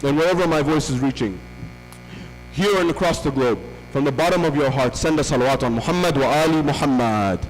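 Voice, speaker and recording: A man delivers a religious lecture, piling up rhetorical questions and repeated phrases for emphasis. His voice sits high for a man. The sound carries a long hall reverb and a faint hum underneath. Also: a little fast. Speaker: visiting lecturer.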